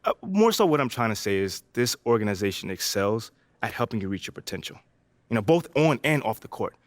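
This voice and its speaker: A man's voice: fairly low pitch, sing-song, brisk, no echo. A man playing an easygoing young American basketball player in a video game, chatting casually and politely. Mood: sincere, earnest. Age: young adult. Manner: laid-back, friendly, informal slangy talk. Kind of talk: casual conversational video game cutscene acting.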